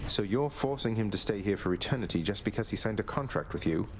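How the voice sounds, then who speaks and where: An actor playing a young underworld prince who talks in a laid-back, wry, polite way. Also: male voice, lowish voice, expressive, fast talker, heavy background noise, lightly acted.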